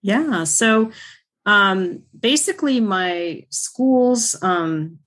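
A woman's voice: medium pitch, lively intonation, very slow, a bit bright. A woman in her fifties, born in Iran, speaking as an interview guest in an easygoing, reflective way, with lots of fillers and restarts. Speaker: interview guest, speech-language pathologist. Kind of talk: casual conversational interview.

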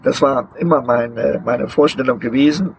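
Older guy with a gravelly voice, umming and ahing a lot, outdoors with a little background hum. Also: mid-range voice, low-quality recording. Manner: deliberate, hesitant, many filler sounds. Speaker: interviewee, long-term overland traveler. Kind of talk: outdoor interview. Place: outdoors beside a vehicle museum.

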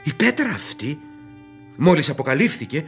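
Man acting a dramatic horror storyteller, swooping high-pitched voice. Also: big pitch swings. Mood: awed, ominous. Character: brooding male storyteller of a horror tale. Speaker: narrator. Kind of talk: theatrical horror radio play narration. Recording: loud music under the voice.

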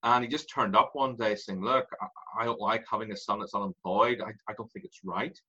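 A man in his forties with an Irish accent, a guest telling his story in an animated way and laughing through it. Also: lowish voice, a little fast, husky, muffled recording. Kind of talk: candid interview storytelling.

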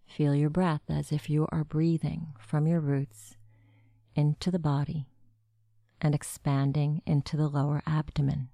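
Woman, meditation guide, mellow tone, very unhurried, gentle instructional delivery, dry recording. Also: sits low, level tone, gravelly, no echo.

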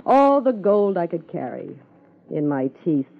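An American actress playing a jaded, sharp-tongued ex-showgirl wife in a 1950s radio crime drama, sardonic and world-weary. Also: on the low side, sing-song, a little slow, creaky, exaggerated voice. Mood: bitter, sardonic. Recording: thin, phone-like vintage radio recording.

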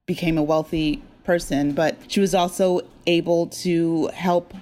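Lower-pitched American woman in interview. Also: fairly even intonation, unhurried, warm tone. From New Jersey, USA. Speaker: guest, audio drama writer and producer. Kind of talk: casual interview conversation.

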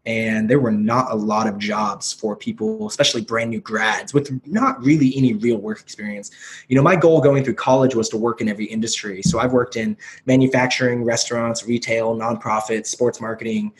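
A man in his thirties from the United States, a podcast guest telling his life story in a casual, energetic, self-deprecating way, swearing freely. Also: on the low side, brisk. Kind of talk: casual chat.

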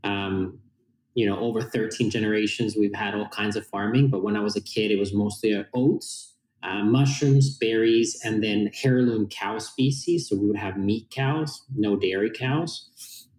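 A man's voice: fairly low pitch, unhurried, a little mellow, echoey room, poor audio. A man in his forties with a Finnish accent, a guest explaining his background earnestly with frequent fillers. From Finland. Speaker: guest, company founder. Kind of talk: casual interview talk.

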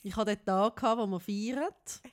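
Woman around 40, normal pitch, cant say her R right, kinda gurgles it, casual podcast chat. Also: moderate pace. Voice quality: speech impediment, gurgled R. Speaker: podcast co-host.

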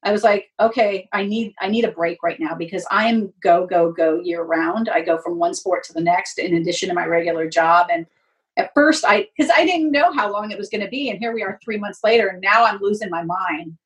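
A woman's voice: medium pitch, brisk. A woman speaking candidly and emphatically as a guest in a casual interview; she works as a sports announcer. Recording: voice distant from the mic.

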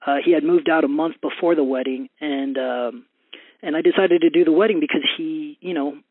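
A man's voice: a little high, fairly quick, dry recording. A man telling his love story in a casual, hesitant way, a little nervous, with many ums. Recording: tinny phone-like audio with faint music.

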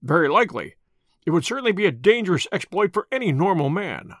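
A man reading the part of a calm, courteous gentleman detective in an audiobook story, measured and polite with dry understatement. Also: high voice, no room sound, exaggerated voice. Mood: wry, thoughtful.

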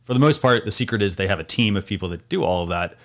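A man's voice: lowish voice, expressive, fast talker. Casual man hosting a podcast. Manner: casual, filler-heavy, advice-giving. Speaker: podcast host.

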